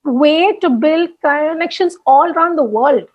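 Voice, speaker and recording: High-voiced Indian woman blogger, talking freely. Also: highs cut off. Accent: Indian English. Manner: chatty, fast with frequent restarts and fillers. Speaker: blogger guest. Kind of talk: candid interview chat.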